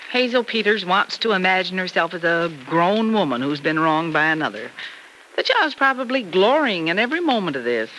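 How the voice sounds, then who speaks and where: Sympathetic middle-aged mother, old radio soap, bright tone, faintly noisy recording. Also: on the low side, fairly quick. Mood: reasoning. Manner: gently reasoning, expressive. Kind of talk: 1950s radio soap opera acting.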